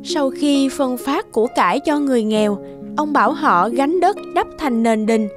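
A woman narrating an animated folk tale in a scripted storybook style. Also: high voice, normal speed, lightly acted. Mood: storytelling. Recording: background music under the voice.

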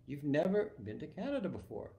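A man reading aloud as a polite, brisk woman customs officer, lifting his voice a little and asking official questions. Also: a little high, big pitch swings, creaky, mellow. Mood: questioning.

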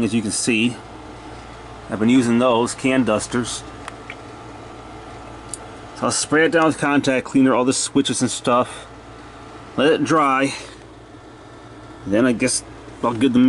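Male hobbyist repairer, mid-pitched, plain-spoken and unscripted, talking to himself on handheld camera audio with faint hum behind. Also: fairly even intonation, a little slow, pauses often. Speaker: hobbyist repairer narrating own video. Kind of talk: casual off-the-cuff repair commentary. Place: cluttered indoor workshop.

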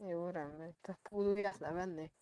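A man's voice: sits high, steady tone, crowd noise. A man improvising in a roleplay game as a paramedic tending a patient. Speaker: roleplay player acting a paramedic.

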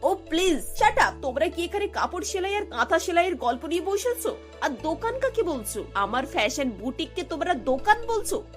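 A woman's voice: very high voice, big pitch swings, fairly quick, few pauses, over music. A woman voicing a snobbish, bossy middle-aged mother-in-law in a cartoon, scornful and dropping English words into her talk. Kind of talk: Bengali cartoon voice acting.

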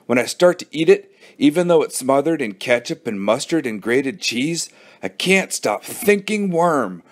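A man's voice: medium pitch, lively intonation, moderate pace, darkish tone, close to an everyday voice. A man voicing a queasy, brooding boy in a children's audiobook, weary and dramatic in his dread.

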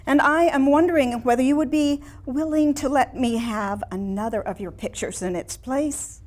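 A woman's voice: high voice, moderate pace, a little mellow, slight background noise, pitched above the performer's own voice, natural voice. A woman in her sixties voicing a polite, gushing society lady in a genteel, emphatic reading of a letter on stage. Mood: gushing, apologetic. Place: small room in a house before a seated audience.

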